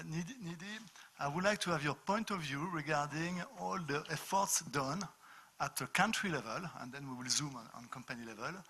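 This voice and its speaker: This is a male moderator opening a business panel. His voice is bright and sits slightly high. He keeps stopping and restarting his sentences and leans on "uh" as he hands over to the guests. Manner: hesitant, many fillers and restarts. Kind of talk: moderating an open workshop discussion.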